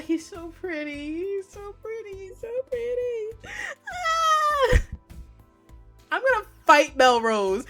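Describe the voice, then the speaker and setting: A woman live streaming a visual novel, reacting in casual, slangy, excitable commentary with plenty of swearing and loud exclamations. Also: very high-pitched. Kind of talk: game commentary, casual reactions between reading aloud.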